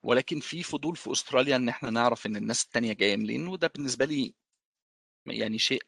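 An Egyptian man with an Egyptian Arabic accent, recounting a personal experience as an interviewee, pausing often with drawn-out fillers. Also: mid-range voice, gravelly, fairly bright tone, no room sound, studio quality. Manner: thoughtful, pauses with drawn-out fillers.

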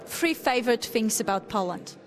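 A woman interviewer asking brisk, direct questions. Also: fairly high pitch, animated, crowd noise, rough recording.

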